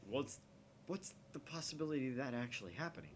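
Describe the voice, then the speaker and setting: A man's voice: mid-range voice, lively intonation, fast, a little hiss or hum, close to an everyday voice. A man voicing a grandiose, paranoid young mad scientist from a visual novel, in a theatrical, conspiratorial manner. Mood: brooding, suspicious.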